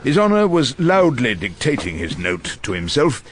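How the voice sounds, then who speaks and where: A man's voice: mid-range voice, huge pitch swings, moderate pace. An actor playing an irascible, witty, ageing male barrister in a radio drama, courtly and ironic as he argues and narrates. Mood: wry narration. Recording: noticeable background noise and ambience.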